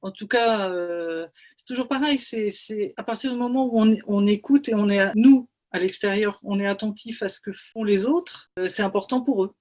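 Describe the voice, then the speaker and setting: A woman musician answering panel questions at a middle pitch. She searches for her words and restarts her sentences often as she thinks aloud. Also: moderate pace, darkish tone. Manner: hesitant, many restarts and fillers. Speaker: musician and project leader. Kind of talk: panel discussion.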